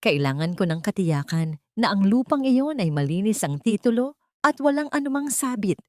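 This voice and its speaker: A woman voicing a cold, haughty woman designer in a dramatic story, measured and threatening. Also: mid-range voice, big pitch swings, darkish tone, no echo, studio quality, pitched above the performer's own voice, lightly acted. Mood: stern, threatening. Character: cold, commanding glamorous woman designer set on revenge.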